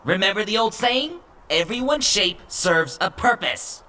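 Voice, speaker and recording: A woman's voice: mid-range voice, lively intonation, measured pace, pushed and bright. A woman playing a small, feisty robot companion in a comic dub, earnest and put-upon, with emphatic delivery. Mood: indignant. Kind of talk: comic book dub acting.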